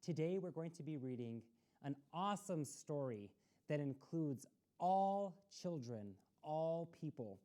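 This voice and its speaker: A woman with a low, soft-toned voice hosts a read-aloud for children. Her intonation moves up and down in a very lively way. She uses simple, instructive phrasing and puts questions to her young listeners. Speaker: story time host reading a picture book to children. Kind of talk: read-aloud storytime with explanatory asides.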